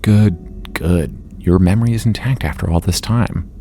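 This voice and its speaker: A man playing a calm, reassuring scientist hero in an audio drama, talking in a measured way. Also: deep voice. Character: calm, measured male superhero scientist tending a patient.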